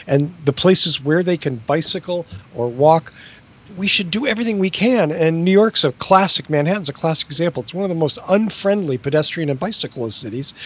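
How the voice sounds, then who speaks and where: A man's voice: a little high, fairly bright tone, slightly rough. A man making an earnest, persuasive point in a casual panel chat over a video call. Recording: dull lo-fi video call audio.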